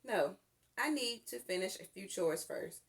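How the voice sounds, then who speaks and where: A woman reads the part of a patient mother in a children's storybook. She explains calmly and stays firm, with expressive movement in her pitch. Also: unhurried, vocal fry. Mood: instructive. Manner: calm, firm, instructive. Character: patient, firm, caring mother of a little girl. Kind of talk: children's storybook read-aloud.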